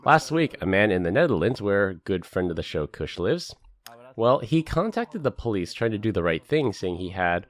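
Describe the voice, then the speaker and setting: Very deep, lively male podcast host. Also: moderate pace. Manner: laughs often, jokey and animated. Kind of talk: casual podcast chat.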